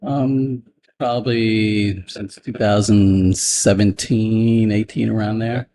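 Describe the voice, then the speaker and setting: Low-pitched guy answering the board, stops and restarts a lot, halting. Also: steady tone, mellow, poor audio. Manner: halting, restarts mid-sentence. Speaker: applicant at a planning board hearing. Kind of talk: answering questions at a public meeting.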